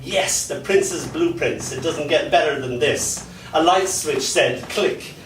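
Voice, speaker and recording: A man performing dark comic poetry and stories live, with a theatrical delivery and dramatic pauses. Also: on the high side. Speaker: spoken word poet. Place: spoken word venue in a London pub. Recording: echoey live venue, distant mic, background noise.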